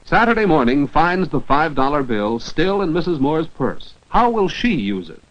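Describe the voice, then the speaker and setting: A man's voice: fairly high pitch, very animated, normal speed. An American man reading scripted narration for a 1940s educational film in a didactic manner with precise enunciation. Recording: dull band-limited 1940s film soundtrack.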